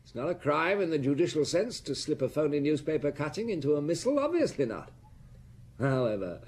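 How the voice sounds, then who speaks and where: An actor playing a debonair, spendthrift count in an audio drama, with a breezy, sardonic and theatrical manner. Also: male voice, medium pitch, a little mellow, natural voice. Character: flippant, spendthrift aristocratic count, mocking and debonair. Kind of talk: detective audio drama acting.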